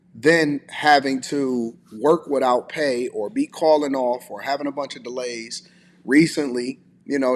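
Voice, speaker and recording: A man with an American accent and a mid-pitched voice gives opinionated commentary. He talks casually and emphatically, circling back to repeat his points. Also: a little hiss or hum. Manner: emphatic, repetitive, conversational. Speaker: commentator, host.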